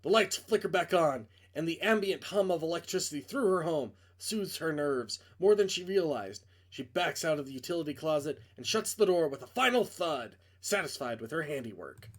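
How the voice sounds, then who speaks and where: A man's voice: fairly high pitch, animated, normal speed, hardly any pauses. A man reading a visual novel aloud as dramatic narration, with casual asides when he stumbles and corrects himself.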